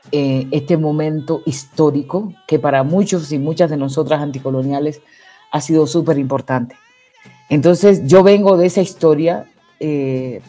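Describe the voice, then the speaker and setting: A Dominican woman with a mellow, dark-toned voice gives reflective explanations as an interview guest. She speaks deliberately and leans on key words, with faint music underneath. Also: deep voice. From Dominican Republic.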